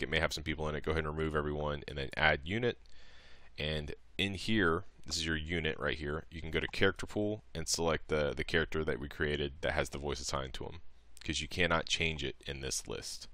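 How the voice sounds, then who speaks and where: A man's voice: a bass voice, fairly even intonation, brisk, pushed and bright, no room sound. A man presenting a modding tutorial as a casual screen-recorded walkthrough, explaining each step in an informal, offhand way.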